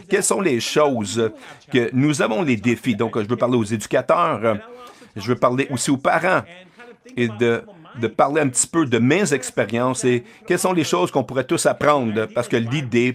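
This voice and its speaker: A man giving an interpreted keynote speech to parents and educators. He pauses often, with many filler sounds and restarts. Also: mid-range voice. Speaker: keynote speaker.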